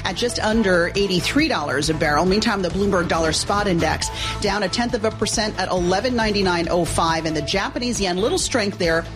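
A woman's voice: mid-range voice, over music. Woman anchor rattling off market numbers. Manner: brisk, figure-heavy delivery with small fillers. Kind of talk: market news update.

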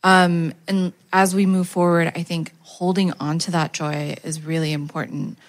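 Female panelist, deep low voice, earnest tone. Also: level tone, moderate pace. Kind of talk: panel discussion remarks.

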